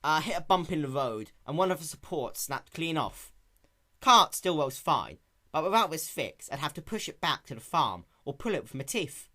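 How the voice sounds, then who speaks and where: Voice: medium pitch, big pitch swings, normal speed, sharp tone, voice pushed up. A performer voicing a plain-spoken, hardworking young country mare with an earnest, folksy rural drawl. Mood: easygoing.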